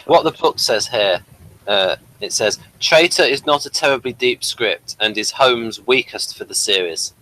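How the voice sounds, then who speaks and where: Man, forceful bright voice, casual review co-host, halting delivery. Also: medium pitch, expressive, rough recording. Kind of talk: casual TV episode review discussion.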